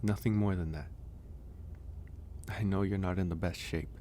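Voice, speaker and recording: A man voicing a gentle, teasing werewolf in an intimate, soft-spoken ASMR roleplay, with a gravelly, unhurried delivery. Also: low-pitched, fairly even intonation, a little hiss or hum, close to an everyday voice. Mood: caring. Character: gentle, teasing male werewolf caring for a guest.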